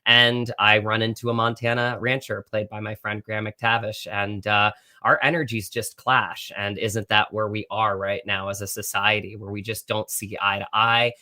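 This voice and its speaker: Bright, even-toned American man being interviewed. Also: fairly low pitch, normal speed. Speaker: actor, interview guest. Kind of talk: conversational interview.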